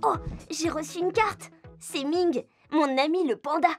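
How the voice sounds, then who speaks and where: A woman voicing a cheerful, curious young animal in a children's cartoon, talking in a bright, childlike way straight to young viewers. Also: high-pitched, a little slow. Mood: cheerful, eager. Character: Jasmin, cheerful curious young animal who travels to friends. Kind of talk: children's cartoon dialogue in French. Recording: music behind the voice.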